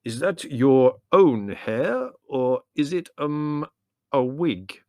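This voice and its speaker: A man voicing a bookish gentleman in a storybook who speaks haltingly, with ums and careful hesitations. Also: mid-range voice, animated, no room sound, voice pushed up. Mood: relishing.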